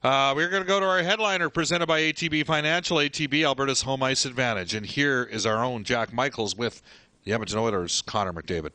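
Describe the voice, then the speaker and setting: A man's voice: mid-range voice, fairly quick, bright, forceful tone, no room sound. A man hosting sports radio, moving between talk and sponsor reads.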